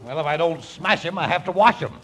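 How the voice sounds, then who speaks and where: Man playing a bumbling lazy grand duke, very animated. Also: on the high side, fast talker, a loud crowd, close to an everyday voice. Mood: grumbling. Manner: comic, hesitant, grumbling. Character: bumbling deposed male grand duke turned lazy waiter. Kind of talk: 1950s radio comedy acting. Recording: noisy vintage radio broadcast.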